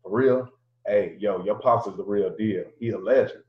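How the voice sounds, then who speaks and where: A man's voice: lowish voice, moderate pace, natural voice. A man voicing a brash, cocky young male boxer who talks in swaggering slang. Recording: roomy lo-fi home recording.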